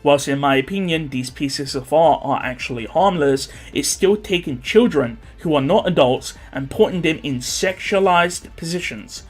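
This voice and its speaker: Male commentator, higher register, formal and scripted critical narration. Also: normal speed, hardly any pauses, soft background music.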